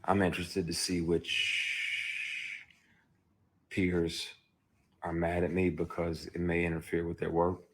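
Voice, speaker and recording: A man with a very deep, husky voice tells his own story candidly. He is blunt and emphatic and swears freely as he talks. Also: monotone, slow, pauses often, a bit bright. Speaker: actor.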